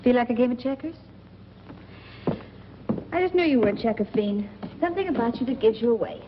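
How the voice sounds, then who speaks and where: A woman's voice: on the high side, animated, a little fast, lightly acted. Actress as wisecracking flirty 40s noir woman, small town and restless, muffled film sound w/ some noise. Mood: flirtatious, probing. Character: worldly, teasing small-town woman itching to leave. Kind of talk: 1940s film noir acting.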